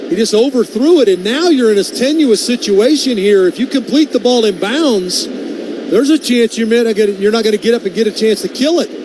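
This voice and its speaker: A man's voice: extremely high pitch, expressive, fairly quick. An American man giving conversational, opinionated analysis of a live football game on the radio. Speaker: color analyst. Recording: loud stadium noise behind the voice. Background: stadium ambience.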